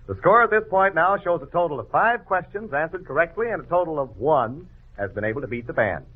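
Male radio announcer, 1940s style, highish husky voice, formal scripted patter, tinny lo-fi broadcast. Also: lively intonation, a little fast. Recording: lo-fi old radio broadcast, phone-like sound.

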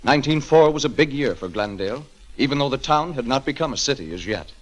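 A man's voice: mid-range voice, animated, quick pace, a little hiss or hum, natural voice, poor audio. An American man narrating a 1940s radio drama as its host, in a dramatic, declamatory scripted style. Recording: old 1940s radio broadcast.

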